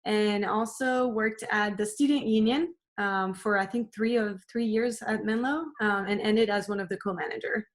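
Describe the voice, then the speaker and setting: A woman in her late twenties from southern France, speaking as a podcast guest, soft-spoken and hesitant, with many fillers. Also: medium pitch, steady tone, moderate pace, hardly any pauses. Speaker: guest, accountant. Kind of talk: podcast interview.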